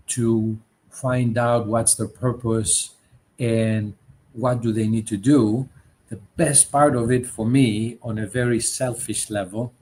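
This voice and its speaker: A man's voice: mid-range voice, a little mellow. Middle-aged man. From Greece. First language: Greek. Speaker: guest, leadership coach. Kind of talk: conversational interview storytelling.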